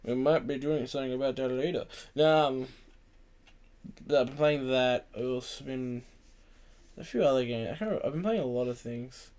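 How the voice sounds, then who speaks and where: A man's voice: mid-range voice, moderate pace, a little mellow. Young aussie guy on a gaming podcast, kinda tired, rambly and jokey, swears a bit. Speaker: podcast host. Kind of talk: casual chat about video games.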